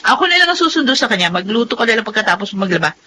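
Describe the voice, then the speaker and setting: An actress with a Filipino accent playing a bossy, impatient household employer who nags and scolds in a radio drama. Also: female voice, mid-range voice, sing-song, racing, sharp tone, a little hiss or hum. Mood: impatient, scolding. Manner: nagging, exclamatory.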